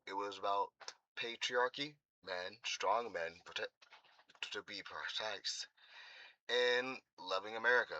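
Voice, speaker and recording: Male vlogger, opinionated and rambling; crisp bright tone, dead-dry recording. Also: on the low side, no echo, rough recording. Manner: rambling, self-correcting, strongly partisan. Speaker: political commentary vlogger. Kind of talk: opinionated commentary.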